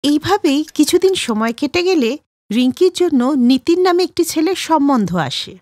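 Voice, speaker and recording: Casting: female narrator for children's tales, high, lively intonation, dry studio sound. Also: moderate pace, no room sound. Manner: expressive. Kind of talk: scripted children's story narration.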